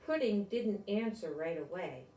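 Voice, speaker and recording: A woman's voice: mid-range voice, animated, a little slow, mellow, slight background noise. An older woman reading a picture book aloud to children, expressively, with little asides and questions to the listeners. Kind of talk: animated read-aloud to children. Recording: echoey room, distant mic.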